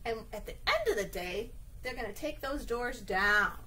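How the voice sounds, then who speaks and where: A woman's voice: fairly high pitch, animated, normal speed, pushed and bright, low-quality recording. A woman reading a picture book aloud at story time for young children, with sing-song, exaggerated expression and frequent little check-in questions.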